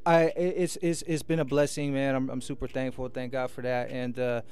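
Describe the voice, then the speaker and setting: A man's voice: mid-range voice, flat delivery, normal speed, darkish tone, smooth. An American man from Sacramento talking casually and earnestly as a radio interview guest, rambling and often checking "you know what I mean". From Sacramento, California, USA. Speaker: guest musician and promoter. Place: radio station studio.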